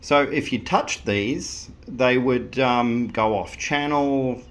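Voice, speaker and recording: A man's voice: mid-range voice, takes it slowly. Rambling man, casual and plain. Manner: rambling, plain-spoken, with fillers and restarts. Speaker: vehicle owner showing an intercom install. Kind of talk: casual talk to camera.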